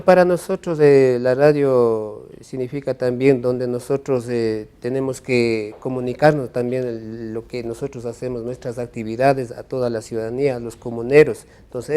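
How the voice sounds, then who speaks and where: Man, community radio guest, mellow voice, halting. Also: medium pitch, steady tone, smooth. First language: Kichwa. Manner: hesitant, halting with many "eh".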